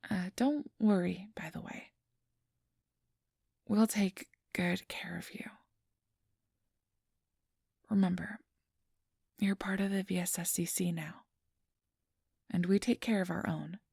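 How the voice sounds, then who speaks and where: A woman playing a kindly, apologetic registration clerk in an ASMR roleplay, speaking softly and often in a whisper with a gentle, reassuring manner. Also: fairly low pitch, steady tone, slow, pauses often, no room sound, studio quality, heavily acted. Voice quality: soft, breathy, often whispered. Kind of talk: ASMR roleplay, soft whispery speech to the listener.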